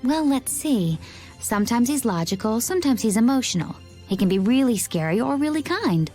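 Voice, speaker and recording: A woman playing a composed, enigmatic young warrior in an anime-style video game, with measured delivery. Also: on the high side, big pitch swings, darkish tone, soft background music. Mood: calm, matter-of-fact.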